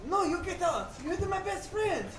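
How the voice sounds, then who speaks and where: Anxious immigrant tavern keeper, 1940s radio play, high voice, echoey stage. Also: male voice, animated, normal speed. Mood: indignant. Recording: echoey stage, far from mic, noisy.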